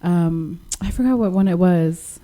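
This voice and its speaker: Soft-spoken young woman from Western Samoa. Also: lowish voice, unhurried. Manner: soft-spoken, laughs often, slangy. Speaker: musician guest. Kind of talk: casual radio interview.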